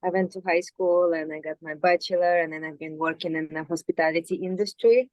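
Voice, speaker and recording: A woman from Russia, raised in the United States, introducing herself with some hesitation and a warm manner. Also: lowish voice, steady tone, fairly quick, dry recording, low-quality recording. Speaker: guest, hospitality worker. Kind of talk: self-introduction.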